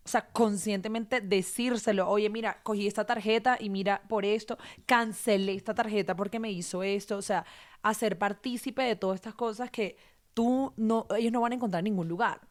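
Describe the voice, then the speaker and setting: Woman, mid-pitched voice, earnest, practical tips, casual talk. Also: fairly even intonation, moderate pace. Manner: earnest, giving practical tips, self-correcting. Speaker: guest. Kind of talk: casual conversation.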